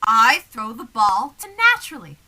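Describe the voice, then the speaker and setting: A woman playing a flustered, exasperated comic man in an old-time radio comedy double act, with frantic, excitable delivery. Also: on the high side, full character voice. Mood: confused, insistent. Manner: frantic, excitable, fast back-and-forth delivery.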